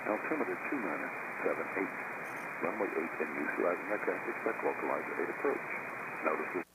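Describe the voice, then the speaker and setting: A man's voice: low-pitched, expressive, a little fast. A man reading a clipped airport weather broadcast over an aviation radio. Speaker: airport weather information announcer. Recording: very noisy, hissy radio transmission.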